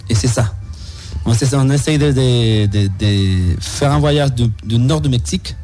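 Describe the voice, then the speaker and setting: Mellow-voiced Venezuelan musician, faint music. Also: male voice, medium pitch, normal speed. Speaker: musician guest. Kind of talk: radio interview.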